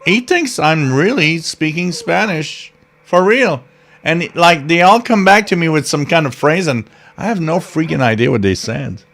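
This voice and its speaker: A man's voice: on the high side, lively intonation, normal speed, fairly bright tone. A man co-hosting a live radio stream, chatting casually and rambling through stories with lots of fillers and restarts, laughing at himself. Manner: laughs at his own stories.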